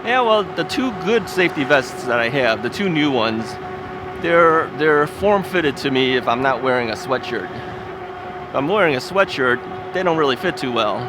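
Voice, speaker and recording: Man, casual vlog host, rambling with fillers, chuckles often, mid-pitched voice, outdoor street recording, clear traffic noise. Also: lively intonation, normal speed. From United States. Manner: rambling and folksy, with filler words and frequent chuckles. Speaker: ebike video host. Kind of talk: casual chatty vlog while riding.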